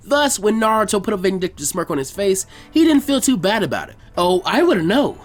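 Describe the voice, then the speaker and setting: A man reading fan fiction aloud as an expressive scripted story narration. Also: sits high, a little fast, soft background music.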